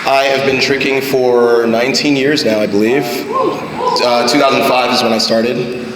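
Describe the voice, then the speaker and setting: A man's voice: medium pitch, steady tone, unhurried, heavy background noise. A man from New Jersey introducing himself on a panel, friendly and conversational. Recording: echoey hall mic, crowd around.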